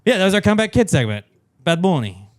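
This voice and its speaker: A man's voice: high-pitched, sing-song, quick pace, no room sound, clean studio sound. A man co-hosting a comedy podcast, bantering casually with lots of swearing and joking asides. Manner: joking, puts on mock Spanish lines. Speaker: podcast co-host.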